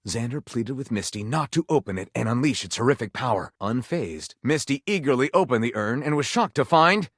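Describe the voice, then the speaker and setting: A man's voice: medium pitch, animated, fairly quick, few pauses, slightly rough, no echo, studio quality. A man playing a flamboyant, pompous narrator of pulpy detective romance in a game, delivering it theatrically and tongue-in-cheek. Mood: grandly dramatic.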